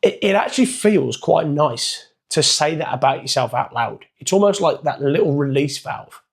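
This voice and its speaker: A man speaking in a croaky, hoarse voice that is gravelly and rough at the edges. He sits a bit higher than most men. He gives a loose, chatty motivational coaching talk. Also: expressive, normal speed. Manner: casual, chatty, loosely structured. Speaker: performance coach.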